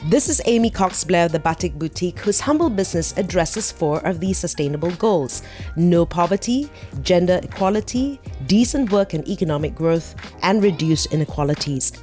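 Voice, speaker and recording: A woman hosting a feature, narrating a scripted introduction and asking interview questions with a measured, clear presenter delivery. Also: fairly low pitch, expressive, mellow, soft background music. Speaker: host and interviewer.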